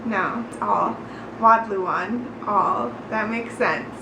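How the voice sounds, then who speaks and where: A woman's voice: medium pitch, slow. A woman chatting casually in a study vlog, informal and self-deprecating, with an encouraging way of talking. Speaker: vlogger learning a language. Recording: roomy echo, clear background noise.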